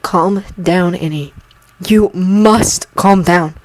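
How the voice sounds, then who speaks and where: A woman voicing a calm, stern young male mentor who speaks softly in a storybook reading. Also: on the low side, moderate pace, no echo, lightly acted. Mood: soothing. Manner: soft, stern.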